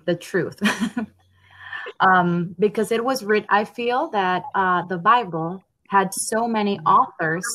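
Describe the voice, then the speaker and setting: A young woman from Honduras talking on a headset over a video call, thoughtful and pausing with ums. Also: medium pitch, a bit bright, rough recording. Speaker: language learner. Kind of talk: casual video call conversation. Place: home room.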